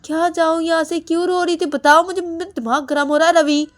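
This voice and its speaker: Brief: a hot-tempered young man yelling question after question, pitched high and loud, put on by a woman storyteller. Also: expressive, fairly quick, no echo, natural voice. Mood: angry shouting. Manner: shouting repeated questions. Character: angry young man, demanding answers.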